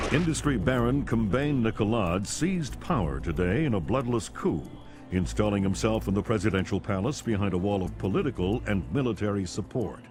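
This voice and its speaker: An actor playing a formal, polished male news anchor reading scripted reports in a measured announcer style. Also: lowish voice, natural voice. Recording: clear music bed behind the voice.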